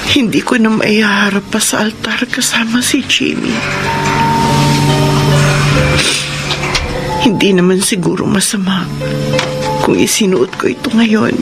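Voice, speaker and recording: Guilt-ridden young bride over loud music, acted by a woman. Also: medium pitch, natural voice. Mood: wistful, regretful. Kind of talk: Tagalog radio drama acting and letter narration. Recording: loud music bed behind the voice.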